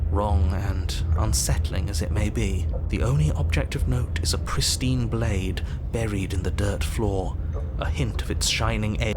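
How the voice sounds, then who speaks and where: An actor voicing a narrator and fractured, anxious inner voices in a psychological horror game, in a dramatic style. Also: male voice, fairly low pitch, noisy background, lightly acted.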